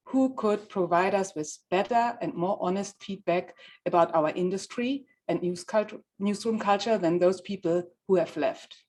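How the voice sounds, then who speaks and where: Female presenter, native German speaker with German accent, medium pitch, prepared talk. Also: a little mellow. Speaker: journalist presenting a research project.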